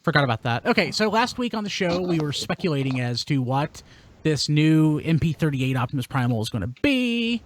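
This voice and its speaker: Man, slightly high voice, very varied intonation, podcast host, casual toy-news chat. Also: very animated, brisk.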